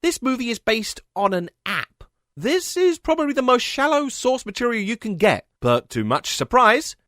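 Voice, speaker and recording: A man's voice: high-pitched, fairly bright tone, no echo, studio quality. A man narrating a scripted comedic video essay as its host, with a sardonic, emphatic delivery.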